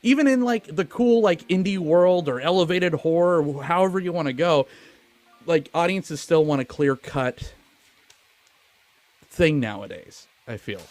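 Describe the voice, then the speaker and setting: A man's voice: a little high, expressive, unhurried, darkish tone. A man co-hosting a film podcast in casual, opinionated, profane conversation.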